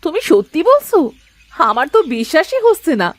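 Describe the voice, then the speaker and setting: A woman's voice: high voice, very animated, fairly quick, no room sound. An actress voicing a fisherman's wife in a cartoon, suspicious and scolding, peppering him with questions. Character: sharp-tongued village fisherman's wife. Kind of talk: Bengali cartoon voice acting.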